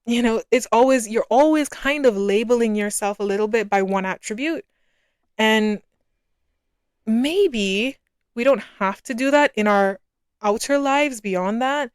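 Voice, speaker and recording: Casting: woman, early twenties, from Barbados, smooth clear voice, casual reflective podcast host, close dry sound. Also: a little high, unhurried, no room sound.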